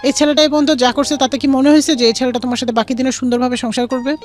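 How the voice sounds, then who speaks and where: A woman's voice: sits high, flat delivery, rapid-fire, darkish tone, soft background music. A young woman with a Bangladeshi accent tells her life story in an interview, quoting conversations and mixing in English words.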